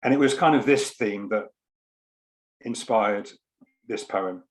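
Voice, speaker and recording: Slow-paced male poet at an online launch. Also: on the low side. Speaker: poet and co-author at a book launch. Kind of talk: informal explanation and poetry reading. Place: online event, on a video call.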